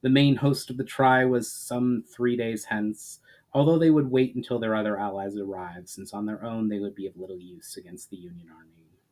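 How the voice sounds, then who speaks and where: A man narrating fantasy fiction as a storyteller, in a dramatic, measured and expressive reading style. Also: on the low side, rough recording.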